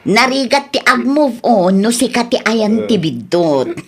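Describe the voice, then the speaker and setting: Woman acting frank young female friend, raised pitch, teasing, advising, comedy radio drama. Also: big pitch swings, measured pace, slightly rough, exaggerated voice.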